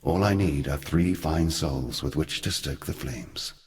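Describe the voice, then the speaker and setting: Ancient male deity, exceptionally deep bass, solemn, scheming, measured grand delivery, theatrical video game monologue. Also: darkish tone. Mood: solemn, ominous.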